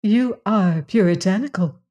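A woman reading aloud and voicing a calm, gently teasing alien woman from another planet. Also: mid-range voice, a little slow, highs cut off, natural voice.

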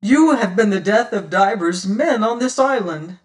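A man's voice: sits high, lively intonation, a little slow. A man reading a story as a bitter, hot-tempered adventurer who accuses and defies in archaic speech. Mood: stern accusing. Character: indignant, hot-tempered, proud male adventurer, bitter and distrustful. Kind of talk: dramatised audiobook reading.

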